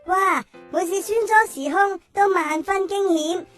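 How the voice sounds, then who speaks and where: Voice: very high-pitched, fairly even intonation, unhurried, music in the background. A performer voicing an eager, fretful young child adventurer in a children's audio drama, dramatic and exclamatory. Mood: panicked.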